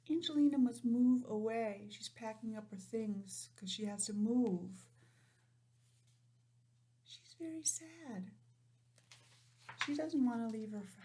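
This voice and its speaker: A woman teacher reading a picture book aloud to young children, stopping for simple, chatty explanations in an animated manner. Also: fairly high pitch. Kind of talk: storybook read-aloud with chatty asides.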